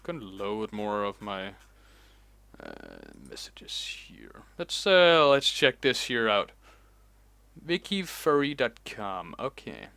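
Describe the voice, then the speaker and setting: Guy rambling thru his vlog at his computer, takes his time, smooth clear voice. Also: lowish voice, huge pitch swings, sharp tone, no echo. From Denmark. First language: Danish. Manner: rambling, unhurried, chatty. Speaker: host. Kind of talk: casual reaction vlog commentary. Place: home, alone at a computer.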